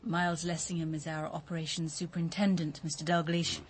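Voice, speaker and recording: A woman's voice: low-pitched, flat delivery, brisk, a little hiss or hum, close to an everyday voice. A woman playing a poised, observant dinner guest in a radio drama, articulate and formal. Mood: polite, probing.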